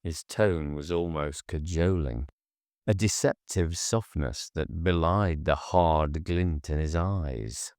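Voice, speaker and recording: A man narrates an audiobook with a very deep voice. He reads slowly and deliberately, in a measured way. The sound is dry and close, with no room in it. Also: darkish tone, dry recording. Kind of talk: scripted narration.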